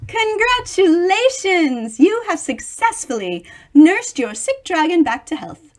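A woman's voice: extremely high pitch, sing-song, slow pace. A middle-aged woman reading a picture book aloud expressively, theatrically stretching words and adding chatty asides for young listeners. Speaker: children's storybook reader.

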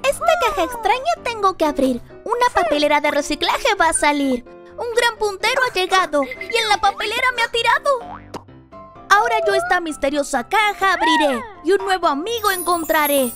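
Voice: extremely high pitch, big pitch swings, normal speed. A performer voicing a playful, adventurous young lion cub in a children's cartoon, speaking in sing-song, exclamatory rhymes. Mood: excited. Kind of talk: rhyming children's cartoon narration. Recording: loud music under the voice.

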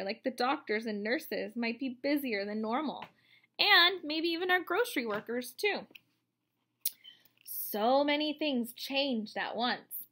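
Bright, high-pitched woman reading to children. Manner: expressive, explanatory, addressing young listeners. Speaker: teacher reading a children's book aloud. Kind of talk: read-aloud storytelling for children with asides. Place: room at home, indoors.

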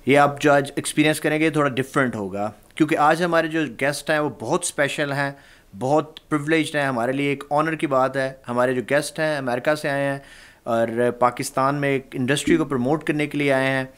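A man in his thirties hosting a studio interview, speaking fast with hesitant fillers, close to a studio mic. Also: medium pitch, steady tone, a bit bright, smooth. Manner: rapid speech with hesitant fillers. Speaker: host. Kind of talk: studio interview host, Urdu then English. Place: small studio with red backdrop. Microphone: studio mic on boom arm.

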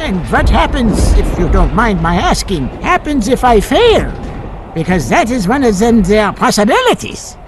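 An actor voicing an eccentric, excitable professor and caretaker in a campy radio comedy. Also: male voice, high voice, normal speed, music in the background. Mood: fussy unease. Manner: comic mangled grammar, excitable. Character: nervous, eager, eccentric male cartoonish professor caretaker.

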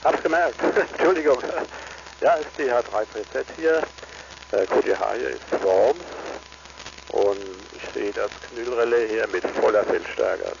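Gravelly man on a noisy radio link. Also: medium pitch, unhurried. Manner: tags statements with "ne?". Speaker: amateur radio operator. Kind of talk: casual two-way radio chat. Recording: dull, noisy ham radio transmission.

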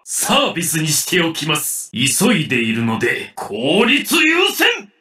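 A male actor playing a harried middle-aged sales manager in a video game, fussy and excitable, with stiffly polite office speech. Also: on the high side, unhurried, heavily acted. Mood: businesslike. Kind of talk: theatrical Japanese game voice acting.

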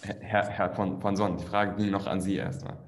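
A man's voice: deep voice, steady tone, normal speed, a little hiss or hum. A young man moderating an online panel in German, asking polite, well-structured questions. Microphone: video call webcam.

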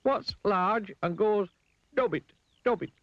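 A man's voice: sits high, slow, no echo, dull audio, pitched above the performer's own voice. A man voicing a simple, good-natured silly man in a children's story, with a teasing streak.

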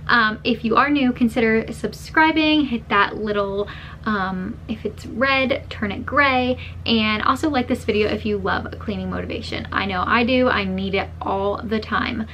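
A young woman in her twenties talks to a camera set up in a small room at home. Her voice sits fairly high, and her relaxed, chatty delivery is sprinkled with ums. A faint hum of room noise sits underneath. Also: measured pace, rough recording. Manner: chatty, easygoing, frequent ums. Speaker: vlogger. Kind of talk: casual chat to camera. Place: small home bedroom, indoors.

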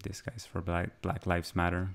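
A man's voice: low-pitched, completely flat delivery, normal speed, vocal fry. A man co-hosting a podcast, raised in Mexico, chatting casually in a rambling, musing way and laughing often. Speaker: podcast co-host. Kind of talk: casual chat.

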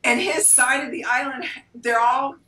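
A woman chatting on a video call panel, lively and argumentative. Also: sits high, moderate pace, echoey room, low-quality recording. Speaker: panel guest. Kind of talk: casual chat.

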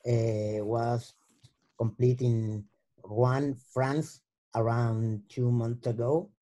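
A man whose first language is Spanish, lecturing in halting English on a video call and searching for words. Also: medium pitch, steady tone, mellow. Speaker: guest lecturer. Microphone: laptop mic on a video call.

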